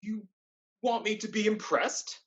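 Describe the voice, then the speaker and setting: A woman's voice: mid-range voice, steady tone, measured pace, lo-fi sound, natural voice. A woman playing an arrogant, scheming male pirate lord in a staged play reading, smug and theatrical. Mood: smug, menacing.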